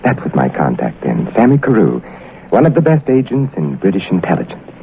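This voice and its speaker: A man acting a wary, sharp-witted British intelligence agent in a theatrical 1940s radio drama style. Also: sits low, huge pitch swings, a little fast, vocal fry, slight background noise, exaggerated voice. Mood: grave, suspenseful. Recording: muffled old radio recording with music.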